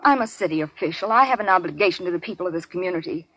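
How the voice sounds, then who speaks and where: An actress playing an earnest, troubled woman pleading with her gangster lover in a 1940s radio drama, breathy. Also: lowish voice, expressive, racing, fairly bright tone, rough recording. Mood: earnest, distressed. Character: earnest, troubled female city official, racketeer's sweetheart.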